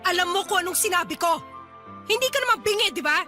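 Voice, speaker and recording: A woman playing an angry, exasperated Filipino mother in a radio drama, sharp and accusing. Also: very high voice, animated, fast, music in the background. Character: angry, exasperated middle-aged mother quarrelling with her husband.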